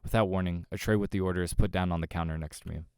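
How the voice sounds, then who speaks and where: A man's voice: deep voice, fairly even intonation, very fast, a little mellow, clean tone, dry recording, clean studio sound. A man reading a visual novel aloud as narration, sometimes stumbling over the words, with music behind him. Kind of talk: dramatic narration of game text, voicing characters. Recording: music under the voice. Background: game background music.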